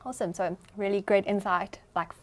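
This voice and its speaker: A woman's voice: mid-range voice, steady tone, fairly quick. Upbeat South African woman hosting. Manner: upbeat, chatty, uses fillers like "you know". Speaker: podcast host. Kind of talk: casual interview.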